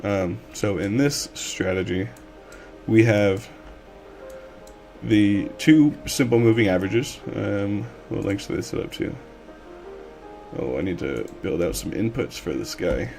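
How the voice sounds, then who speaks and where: Hoarse man, loose rambling stream talk. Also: lowish voice, unhurried, soft background music. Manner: relaxed, rambling with many fillers. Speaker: livestream coding instructor. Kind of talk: casual live-stream tutorial.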